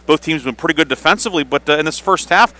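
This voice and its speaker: A man calls a high school game for radio at halftime. His voice is bright and sits slightly high. He talks in halting bursts, circling back over his phrases as he hosts. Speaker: radio sports play-by-play host. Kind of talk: live halftime sports commentary.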